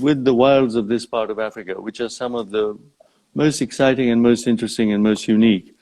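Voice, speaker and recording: An older man from Uganda talks as a guest in a live video chat. He speaks in a reflective, measured way, often pausing and rephrasing. His pitch sits in an average male range. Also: a little mellow, low-quality recording. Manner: halting, thoughtful, measured phrasing. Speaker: interview guest, safari company founder.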